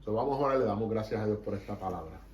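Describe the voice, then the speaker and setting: Fervent Puerto Rican preacher, echoey room. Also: male voice, fairly low pitch, racing, a little mellow, muffled recording, slight background noise. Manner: fervent, emphatic preaching that slips between Spanish and English. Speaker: pastor. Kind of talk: preaching and praying to a congregation. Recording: echoey room, mic at a distance.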